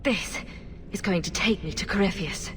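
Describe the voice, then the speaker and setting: An actress playing a resolute, civil young adventurer in a video game, speaking in a direct, questioning way. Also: female voice, fairly low pitch, moderate pace, a bit bright, a little hiss or hum, lightly acted. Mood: alarmed. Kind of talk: dramatic video game acting.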